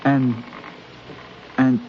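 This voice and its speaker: Slow, earnest boy pupil, old radio. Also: medium pitch, little pitch movement. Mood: careful reciting. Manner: halting, careful, simple phrasing. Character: devoted African boy, earnest, studious pupil. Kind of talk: 1950s radio drama acting. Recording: muffled, hissy 1950s radio recording.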